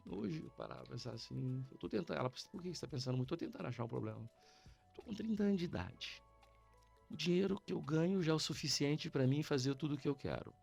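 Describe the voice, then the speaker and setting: A Brazilian man chats casually on a podcast, telling anecdotes in slangy, informal speech. His voice is fairly high and very lively in pitch, and he moves quickly as he acts out both sides of a conversation. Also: gravelly, husky, faint music behind. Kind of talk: casual animated storytelling chat.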